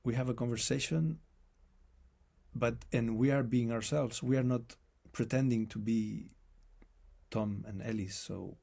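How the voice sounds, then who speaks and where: A man with a non-native accent, speaking as an interview guest in a reflective, halting way and often restarting his phrases. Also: mid-range voice, gravelly, a little mellow. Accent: non-native English accent. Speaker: guest musician. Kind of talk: casual conversation.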